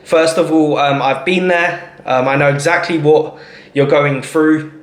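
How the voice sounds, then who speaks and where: A man speaks casually to his viewers in a voice pitched a little high for a man. His delivery is hesitant and reassuring, and he often rounds off a point by checking that it landed. The sound is noticeably echoey, as in a bare room. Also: fairly even intonation, a little slow. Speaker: vlogger and marketing agency owner. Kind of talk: informal talk to camera.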